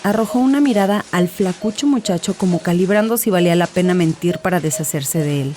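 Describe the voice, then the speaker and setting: A woman's voice: lowish voice, brisk, dark tone. A woman giving a dramatic scripted narration as an audiobook narrator. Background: music.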